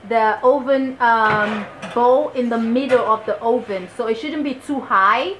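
Young woman chatting excitedly thru a recipe in her kitchen, bit high voice, some background noise. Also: measured pace. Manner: enthusiastic, chatty. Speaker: vlog host. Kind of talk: casual cooking commentary to camera. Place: small home kitchen, indoors. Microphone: camera microphone. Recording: clear kitchen noise, camera mic. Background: kitchen cooking ambience.

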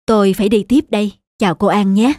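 A woman's voice: mid-range voice, fairly even intonation, fast, music in the background, close to an everyday voice. A woman voicing a humble, kindly middle-aged street cleaner in a cartoon drama, polite and apologetic. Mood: grateful, polite. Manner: polite, apologetic, soft-mannered. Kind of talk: cartoon moral-drama dubbing.

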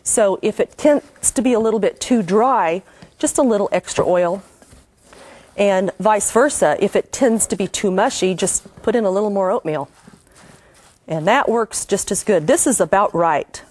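A woman's voice: mid-range voice, normal speed, a little mellow. A middle-aged woman hosting a cooking show, giving homey, enthusiastic step-by-step instructions while she cooks. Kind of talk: cooking demonstration.

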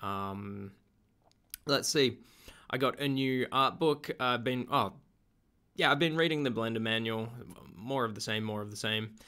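A young man, slightly hoarse from being sick, chatting in a relaxed, rambling way with lots of ums in a video diary at home. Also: mid-range voice, a little slow. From Australia. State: slightly hoarse, getting over an illness. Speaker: vlogger.

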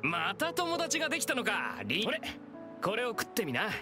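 Easygoing young male stall keeper for an anime game: high, lively, familiar. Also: fairly quick, over music. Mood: friendly, chatty. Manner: rough, familiar, casual speech.

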